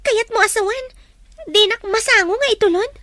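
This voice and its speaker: A woman's voice: very high voice, normal speed, bright, forceful tone, no echo. A woman playing a jilted young woman, hurt and bitter, in an Ilocano radio drama with an Ilocano accent. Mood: pleading, upset. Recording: very noisy recording with hum.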